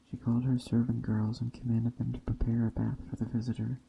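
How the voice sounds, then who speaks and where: A man softly reading a story in a hushed, gentle ASMR storytelling style. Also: medium pitch, completely flat delivery, a little fast, gravelly, slight background noise, low-quality recording. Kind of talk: soft-spoken ASMR reading of a Greek myth tale. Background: soft nature ambience.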